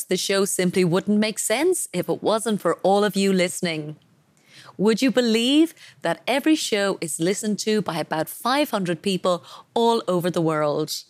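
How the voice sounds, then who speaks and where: A woman's voice: mid-range voice, no room sound. A woman hosting a podcast, reading a polished, enthusiastic intro and then interviewing her guest. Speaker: podcast host.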